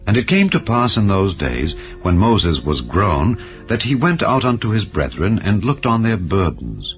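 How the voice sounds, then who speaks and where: A man narrating scripture as a dramatized audiobook, in a solemn, measured storytelling manner. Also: on the low side, very animated. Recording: slightly dull audio over music. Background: clear background music.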